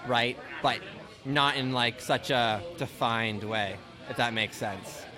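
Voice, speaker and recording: A man's voice: mid-range voice, slow, over music. A man from Ontario hosting a podcast, chatting casually and rambling with lots of restarts and "right?" tags. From Ontario, Canada. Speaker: podcast host. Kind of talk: casual conversational interview.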